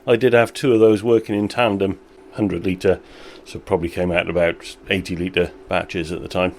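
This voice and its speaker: A man who owns a small brewery, chatting in an interview with a relaxed, self-deprecating manner and frequent laughter. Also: sits low, normal speed, a little hiss or hum. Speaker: brewery owner. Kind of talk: casual interview chat.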